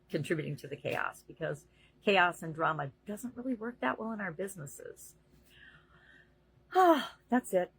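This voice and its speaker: Middle-aged woman, husky low voice, dry close sound, earnest daily vlog pep talk. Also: a bit bright, no echo, rough recording. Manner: earnest, conversational, with frequent fillers. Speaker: vlogger and business coach.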